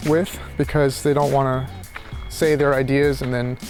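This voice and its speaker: A man rambling casually and reflectively in a halting monologue as he walks outdoors, thinking aloud. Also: mid-range voice, level tone, darkish tone, faint music behind. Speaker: philosophy tutor vlogger. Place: outdoors, walking.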